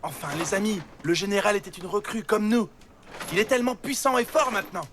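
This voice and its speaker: A man's voice: high voice, lively intonation, fairly quick. Young cartoon recruit dreaming big, earnest male voice, really noisy audio. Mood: determined. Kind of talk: animated film French dub acting. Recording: very noisy film soundtrack.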